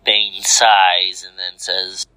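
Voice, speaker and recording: A man's voice: deep voice, level tone, drawn-out words, bright, forceful tone, no room sound, low-quality recording. A man reading scripted action fiction aloud as a storyteller. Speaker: story narrator. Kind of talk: scripted narration of fan fiction.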